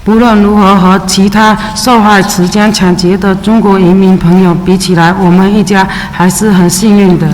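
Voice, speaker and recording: A Chinese immigrant woman, a native Mandarin speaker, reading her testimony at a public hearing in a steady, measured way with brief hesitations. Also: mid-range voice. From China. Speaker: witness testifying at a city council hearing. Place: large public hearing chamber. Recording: echoey hearing room with faint ambience.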